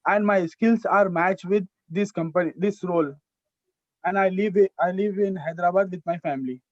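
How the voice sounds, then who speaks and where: A man's voice: high-pitched, moderate pace, no echo, highs cut off. A young man in his twenties with an Indian English accent, a student reciting a rehearsed self-introduction with small restarts over a video call.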